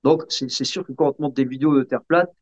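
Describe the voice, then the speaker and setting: A man being interviewed, explaining casually in a halting way and often checking that the listener follows. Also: medium pitch. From Sicilian family background. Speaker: interview guest. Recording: dull, muffled lo-fi sound.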